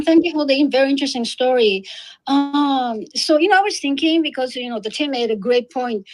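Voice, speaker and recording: A female co-host with a fairly high voice, polite and a little apologetic. She thinks aloud as she talks, leaning on "you know" while shaping her questions. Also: low-quality recording. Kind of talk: casual conversation.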